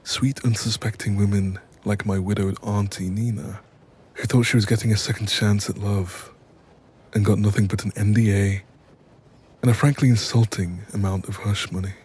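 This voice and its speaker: A man playing an apologetic, exasperated mafia boss in an audio roleplay, with a husky voice and a wry, sweary, chatty manner. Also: fairly low pitch, steady tone, normal speed, a little mellow. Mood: gossipy, indignant. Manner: wry, sweary, chatty, calls listener doll. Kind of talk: audio roleplay acting, intimate one-sided dialogue.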